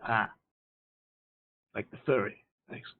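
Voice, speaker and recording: A man playing a tabletop roleplaying game online, bantering playfully and flirtatiously in character. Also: takes it slowly. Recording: tinny online call audio.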